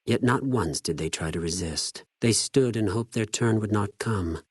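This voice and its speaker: An actor playing a cold, zealous, contemptuous legion spy in a video game, speaking formally with measured condescension. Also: male voice, lowish voice, husky, dry recording, clean studio sound. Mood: detached cruelty. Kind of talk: scripted video game character dialogue.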